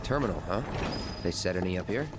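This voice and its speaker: A man's voice: deep voice, lively intonation, fast. An actor playing a brooding young male warrior in a video game, speaking in clipped, understated short phrases. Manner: clipped, few words. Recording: music under the voice.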